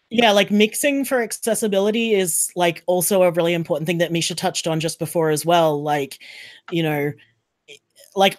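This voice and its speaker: Woman on a podcast panel, slightly low voice, earnest casual talk. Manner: informal, earnest.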